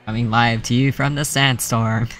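Male streamer, mid pitch, gravelly fry, flat and soft-spoken, faint game music underneath. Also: unhurried. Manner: soft-spoken, laughs often, self-described monotonous voice. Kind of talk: casual stream sign-off chat.

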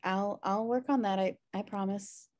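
A woman's voice: mid-range voice, fairly even intonation, moderate pace, a little mellow, natural voice. An American woman reading the part of a flippant, irresponsible twin sister in a sitcom script. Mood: sheepish. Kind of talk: sitcom script table read. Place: indoor room, on a video call. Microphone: video call microphone.

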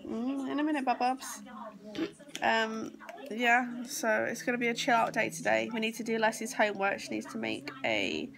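A woman's voice: a little high, expressive, normal speed, vocal fry, crowd noise. A young woman with a sore throat and congested nose, chatting casually to a phone camera at home, rambling with plenty of ums. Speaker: vlogger, mother. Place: small house, sofa, kitchen and garden. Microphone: phone camera at arm's length. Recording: noisy home phone recording.

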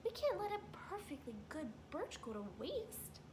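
A woman voicing a practical female beaver who talks briskly and scolds her husband. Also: very high voice, big pitch swings, darkish tone, slight background noise, voice pushed up.

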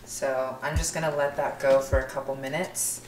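A woman's voice: extremely low pitch, level tone, normal speed, heavy background noise. A young woman giving a casual, informal cooking demonstration, talking through each step as she works. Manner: informal, narrating each step while working. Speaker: vlogger demonstrating a recipe. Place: home kitchen, indoors. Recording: echoey room with clear kitchen clatter.

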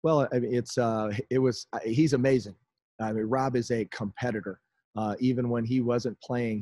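Chicago-area American head coach, man in his forties, low monotone, halting, dry webcam sound. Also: dry recording. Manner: halting, many restarts and fillers. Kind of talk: postgame press conference. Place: indoors in front of a team backdrop. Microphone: video call webcam.

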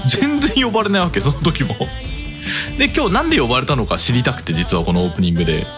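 A man's voice: medium pitch, very animated, quick pace, over music. A man in his thirties co-hosting a casual radio chat as a reviewer. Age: 30s. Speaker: podcast co-host, music reviewer.

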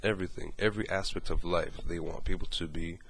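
Man in his thirties, low voice, earnest and hesitant, recorded at a webcam in a bedroom. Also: steady tone, quick pace, fairly bright tone, a little hiss or hum. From Morocco. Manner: earnest, hesitant, many fillers. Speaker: activist caller. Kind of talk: earnest monologue and phone call. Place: small bedroom indoors.

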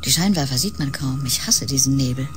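An actress playing a brooding, dreamy woman in a German radio drama, hushed and poetic. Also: rumbling low, a little fast. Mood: melancholy. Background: prominent music.

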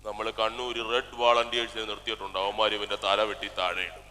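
A man's voice: on the low side, steady tone, fast talker, bright, forceful tone. A man playing a sardonic, scheming politician in a stage comedy, colloquial and mocking. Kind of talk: stage comedy skit acting. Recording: echoey stage hall, noisy ambience.

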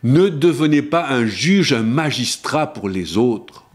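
An older man preaching a Lenten sermon in with a lilt. He talks to camera at a table microphone in a small studio, slowing down to stress key words and moving often between higher and lower pitches. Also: expressive. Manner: shifts between spoken pitches, slowing for emphasis. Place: small studio before a blue backdrop, seated at a table. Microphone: table microphone on a stand.

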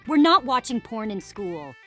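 A woman's voice: a little high, very animated, normal speed, soft background music. A woman voicing a hostile, protective teenage dinosaur girl in a visual novel, blunt and accusing. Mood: animated.

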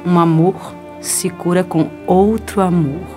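A woman's voice: lowish voice, fairly even intonation, slow, mellow, faint music behind. A woman playing a flirtatious, self-assured seductress in a radio soap opera.

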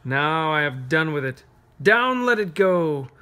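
A man reading a picture book aloud to children, pausing to ask them gentle, encouraging questions. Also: on the high side, big pitch swings. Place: carpeted room at home, sitting on floor.

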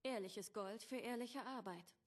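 Higher-voiced fantasy townswoman. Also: a little slow. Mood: businesslike. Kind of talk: video game character acting.

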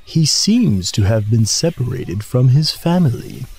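A grave, protective jungle animal from a children's cartoon story, acted out by a man. He speaks slowly and seriously with expressive rises and falls, over some background noise. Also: medium pitch. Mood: concerned. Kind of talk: scripted children's story dialogue.

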